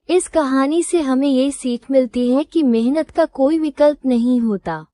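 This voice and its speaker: A woman reading a scripted children's moral story as storyteller, in a measured, instructive manner. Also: sits high, a little mellow, no room sound.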